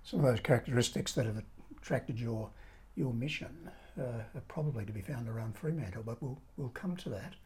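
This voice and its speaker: A woman hosting a podcast interview, asking measured, halting questions. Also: a bass voice, expressive, a little mellow, husky. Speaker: podcast host.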